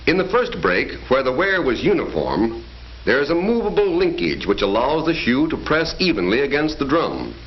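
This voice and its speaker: A man's voice: mid-range voice, sing-song, normal speed, a little hiss or hum. A man narrating a 1940s educational film in an emphatic, declamatory announcer style. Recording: dull 1940s film soundtrack with faint music.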